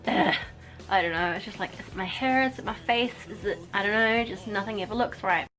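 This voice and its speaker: A woman's voice: medium pitch, brisk, a bit bright, rough recording. Woman just chatting, rambly and kinda down on herself, bit of music under it. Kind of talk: casual chat to camera.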